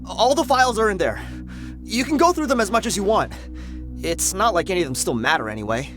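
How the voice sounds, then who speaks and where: An actor playing a sneering, cowardly bandit underling in a video game, blustering and prone to stammer. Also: male voice, sits high, big pitch swings, a little fast, over music. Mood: nervous, pleading.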